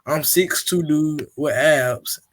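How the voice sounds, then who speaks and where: A man's voice: on the high side, slow pace, a bit bright, a little hiss or hum. A man joking back and forth in livestream banter, playfully brushing off teasing. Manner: playful, jokes back at hecklers.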